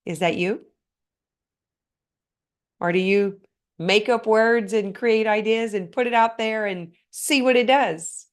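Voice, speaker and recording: A woman hosting a motivational podcast on her own, speaking earnestly and often putting questions straight to the listener, her voice a little hoarse and stuffed up. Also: medium pitch, takes it slowly, long pauses. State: slightly hoarse, congested. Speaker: podcast host. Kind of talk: motivational solo talk.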